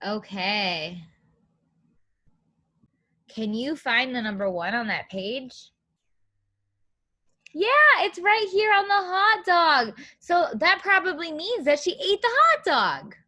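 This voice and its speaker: A woman's voice: sits high, very animated, slow, pauses often, pushed and bright. A woman preschool teacher reading aloud to young children, asking questions and counting in an encouraging, sing-song way with simple phrasing.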